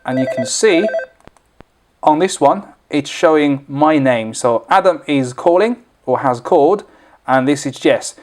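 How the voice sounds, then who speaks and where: A man's voice: on the high side, expressive, a little slow, darkish tone. A man presenting an informal, unscripted product tutorial, explaining things step by step as he demonstrates.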